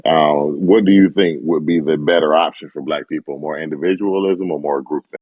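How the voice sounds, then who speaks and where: A man's voice: extremely low pitch, steady tone, moderate pace, no echo, phone-quality sound. A man hosting a podcast, asking a question in a thoughtful way while he searches for his words. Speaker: podcast host. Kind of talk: casual interview question.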